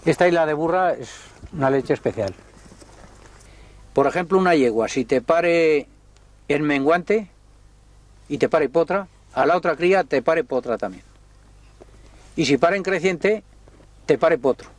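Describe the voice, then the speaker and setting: An older man, a livestock trader telling unhurried, folksy stories in a documentary interview. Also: on the high side, no room sound. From Spain. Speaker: horse and mule trader.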